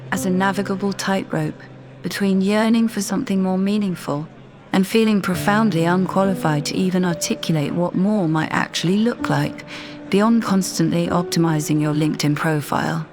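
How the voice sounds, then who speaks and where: A woman narrating a scripted guided meditation in a measured, deliberate, soothing manner. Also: fairly low pitch. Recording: voice over background music. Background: music.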